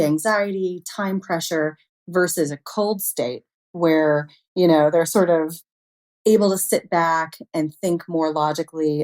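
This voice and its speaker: Low-pitched woman explaining as an expert guest. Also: a little mellow. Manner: explains with everyday examples and hypotheticals. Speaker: interview guest, expert on human error in cybersecurity. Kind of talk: conversational expert explanation in an interview.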